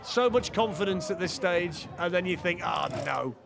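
A man giving lively, jokey live commentary on a downhill race at an outdoor track. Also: high voice, creaky, background noise. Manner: excitable, quick reactions, jokey.

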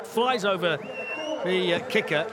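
A man giving lively, jokey live commentary on a downhill race at an outdoor track. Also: high-pitched, over a noisy crowd. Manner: excitable, quick reactions, jokey.

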